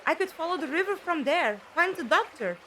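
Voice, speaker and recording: A woman acting as a spirited, defiant young heroine in an audio drama. Her high voice swings expressively through earnest, pointed questions, with light ambient noise around it. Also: expressive, brisk, pushed and bright, exaggerated voice, low-quality recording. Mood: anxious, talking to herself. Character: defiant young woman, the Doctor's companion Five.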